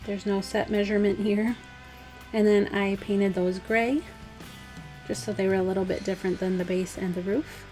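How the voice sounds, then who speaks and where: A woman with a slightly hoarse, dry voice, casually talking through a DIY craft project step by step. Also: medium pitch, normal speed, faint music behind. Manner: relaxed, chatty, step-by-step instructions with fillers. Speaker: craft vlogger. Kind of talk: casual DIY tutorial voice-over.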